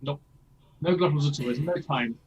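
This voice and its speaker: A man's voice: fairly high pitch, normal speed. A man coaching a singer in a masterclass, explaining things and checking in with "yeah?" as he demonstrates lines himself. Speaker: vocal coach.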